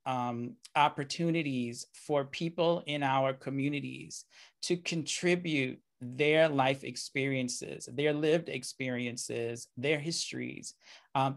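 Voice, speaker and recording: A middle-aged man, his voice gravelly with a touch of fry, speaking at an ordinary middle pitch. He lectures in a measured, reflective way, pausing on "um" and "you know". He is heard through a webcam on a video call. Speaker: keynote speaker. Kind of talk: lecture over slides. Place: indoor room, video call.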